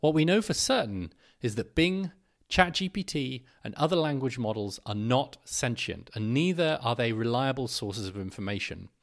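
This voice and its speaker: A man's voice: mid-range voice, lively intonation, normal speed, vocal fry. A man giving a scripted narration, reading an article aloud.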